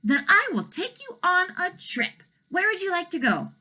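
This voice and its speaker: A woman's voice: extremely high pitch, very animated, normal speed, pushed and bright, voice pushed up, heavily acted. A woman voicing a grateful young male dragon with a quavering, wailing voice.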